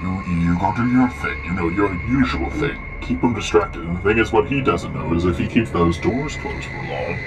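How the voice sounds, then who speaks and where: Guy doing bossy robot bear leader for a game roleplay, lowish voice, music behind him. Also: moderate pace, few pauses, lightly acted. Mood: scheming. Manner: repeats phrases urgently. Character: bossy, scheming male animatronic bear leader. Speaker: ringleader in a roleplay. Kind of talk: improvised game roleplay acting.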